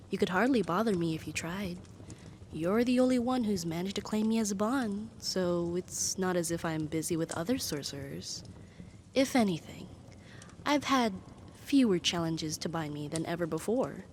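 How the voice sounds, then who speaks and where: A woman's voice: mid-range voice, darkish tone, close to an everyday voice. Woman voicing a formal, devoted demon servant, noisy recording. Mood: pleased, reverent. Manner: formal, stately, breathy sighs and whispers. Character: powerful female demon, devoted and possessive servant. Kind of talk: ASMR roleplay monologue.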